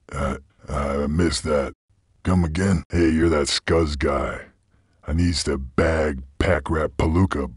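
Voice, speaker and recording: Very deep, husky frontier bounty hunter. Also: male voice, no echo, clean studio sound, heavily acted. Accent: American Western drawl. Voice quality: husky, gravelly. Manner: terse, slangy, deadpan drawl. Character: gruff, laconic frontier bounty hunter creature, male, weary and menacing. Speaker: game protagonist. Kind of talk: video game character lines.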